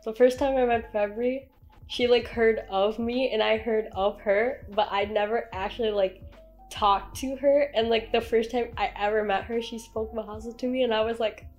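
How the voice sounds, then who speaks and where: High-voiced young woman chatting. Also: steady tone, moderate pace, a little mellow, faint music behind. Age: young adult. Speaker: podcast co-host. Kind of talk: casual chat.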